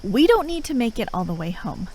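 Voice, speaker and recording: A woman playing an exasperated, sharp-tongued but reluctantly caring enemy operative in a fantasy audio roleplay, sardonic, speaking in short phrases with pauses. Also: a little high, sing-song, slight background noise, low-quality recording. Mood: incredulous. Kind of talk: fantasy audio roleplay acting, addressed to a listener.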